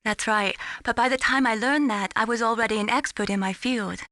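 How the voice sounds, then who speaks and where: An actress playing an earnest, gently teasing young female radar technician in a video game. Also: on the high side, brisk, dry recording, clean studio sound. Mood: candid. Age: young adult. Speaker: mission support operator. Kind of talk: scripted video game voice acting.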